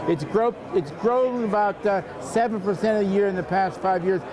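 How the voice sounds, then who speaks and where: High-voiced older man in a busy crowd. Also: moderate pace. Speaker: sportsbook oddsmaker being interviewed. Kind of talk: unscripted interview soundbite. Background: busy crowd in a public venue.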